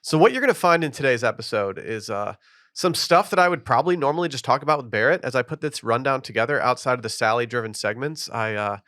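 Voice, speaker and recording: A man's voice: medium pitch, animated, fast, fairly bright tone, no echo, clean studio sound. A man hosting a podcast, chatting loosely with lots of fillers and reading an ad. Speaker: podcast host. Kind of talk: casual podcast chat with ad reads.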